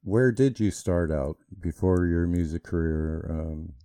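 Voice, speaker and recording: A man asking interview questions in a soft, hesitant way. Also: low-pitched, a little slow, dry recording, studio quality, faint music behind.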